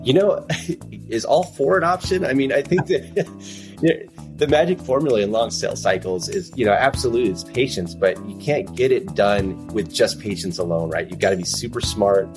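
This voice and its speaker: A man's voice: lowish voice, normal speed, music in the background. A man speaking as an interview guest, laid-back and thoughtful, laughing easily. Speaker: guest, sales leader. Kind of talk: casual interview.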